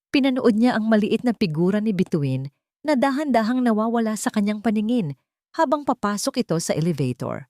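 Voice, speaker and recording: A woman narrating a sentimental story in a scripted, dramatic style with emotive, deliberate phrasing. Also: fairly low pitch, a little mellow, no echo, studio quality.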